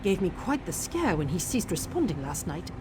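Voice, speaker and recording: Lively, prickly researcher, noisy background. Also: female voice, on the low side, normal speed. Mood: relieved, attached. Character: defensive, secretly soft-hearted female clockwork researcher. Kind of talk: AI-voiced video game dialogue.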